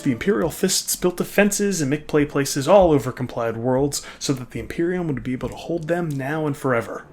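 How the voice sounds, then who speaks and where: A man delivering comedic scripted narration in a sardonic, mock-grand manner, tossing in irreverent asides. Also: on the high side. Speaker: narrator of a comedic fan lore video. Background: background music.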